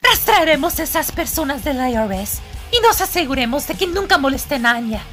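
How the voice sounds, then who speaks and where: A woman voicing a sweet, anxious, fiercely protective young mother with a Latin American Spanish accent, expressive, in an anime fan dub. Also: high-pitched, fairly quick, soft background music. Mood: protective, determined.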